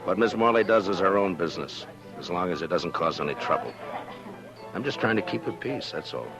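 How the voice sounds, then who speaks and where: A man's voice: low-pitched, animated, a little fast. A man playing a weary, plain-spoken western marshal in a 1950s radio drama, laconic and understated with an air of authority. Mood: low-key warning. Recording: dull old 1950s radio recording, music behind.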